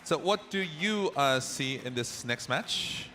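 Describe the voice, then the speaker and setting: Bright-voiced male esports commentator. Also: a little high, slow, slight background noise. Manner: relaxed, prompts the analyst with questions.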